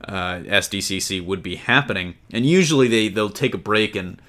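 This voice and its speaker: A man's voice: on the low side, normal speed, bright, forceful tone. A man hosting a casual entertainment news discussion in a conversational way, putting questions to his co-hosts. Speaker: podcast host.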